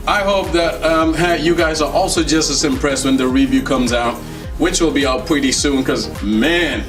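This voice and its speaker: A man giving casual, enthusiastic unboxing commentary as a tech reviewer, in a playful, slangy, joking manner. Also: medium pitch. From Netherlands. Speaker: tech reviewer, video host.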